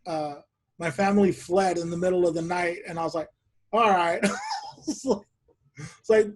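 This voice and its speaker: A man hosting a podcast over a video call, telling stories in a relaxed chat and laughing often. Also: sits high, normal speed. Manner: laughs often, animated storytelling. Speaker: podcast host. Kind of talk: casual chat.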